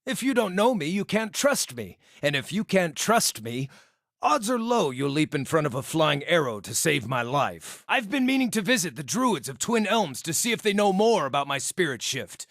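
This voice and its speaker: An actor voicing a small, scarred outcast druid in a video game, wry, cheeky and theatrical, with a wordy way of talking. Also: male voice, a little high, big pitch swings, normal speed, a bit bright, no echo, clean studio sound, full character voice. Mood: earnest. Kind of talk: video game character dialogue.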